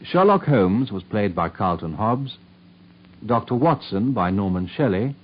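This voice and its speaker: A man with a British accent reading formal scripted announcements as a radio announcer, his voice husky. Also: fairly low pitch, expressive, a little slow. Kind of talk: formal scripted broadcast credits. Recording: dull, lo-fi vintage radio recording.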